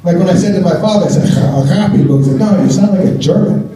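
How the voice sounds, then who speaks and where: A man's voice: sits high, quick pace, mellow. An American man performing a stand-up comedy set on stage in front of a live audience, talking in a wry, conversational way and chuckling at his own jokes. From United States. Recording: roomy theater sound, mic at a distance.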